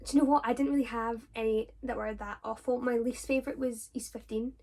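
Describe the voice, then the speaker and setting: A young woman with a Scottish accent, her voice sitting a little high. She talks casually through viewers' questions, rambling with many fillers and restarts. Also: fairly even intonation, fast talker, a little mellow. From Scotland. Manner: chatty, with frequent fillers and self-corrections. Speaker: drama student vlogger.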